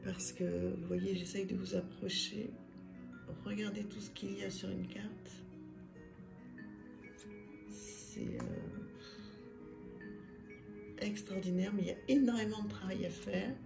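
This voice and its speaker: A woman with a slightly hoarse, gravelly voice talks through her card decks, pausing often on little fillers. Her voice rings in an echoey room. Music plays clearly underneath. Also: mid-range voice, big pitch swings. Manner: soft, hesitant, many fillers. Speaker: tarot and oracle channel host. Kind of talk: casual card deck review.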